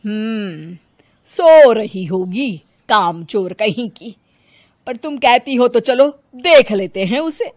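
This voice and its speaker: A woman's voice: a little high, expressive, takes it slowly, a little mellow, dry recording, studio quality. A woman voicing a taunting, bossy middle-aged mother-in-law in a cartoon story. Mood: scolding.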